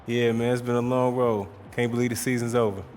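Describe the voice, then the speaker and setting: A man's voice: medium pitch, little pitch movement, a little fast, a little mellow, soft background music, natural voice. A man playing a humble, grateful young basketball player in a video game cutscene, casual and slangy. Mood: wistful gratitude.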